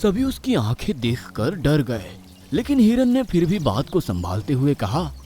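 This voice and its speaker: Animated male children's storyteller over music. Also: a little high, a little slow. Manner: expressive, animated. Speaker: storyteller, narrator. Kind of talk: scripted children's story narration. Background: background music.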